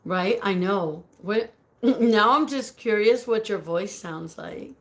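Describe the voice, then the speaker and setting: A woman with a raspy voice chatting casually on a live stream, greeting viewers by name, giggling often and slipping Spanish words into her talk. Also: medium pitch, takes it slowly. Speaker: livestream host reading viewer comments.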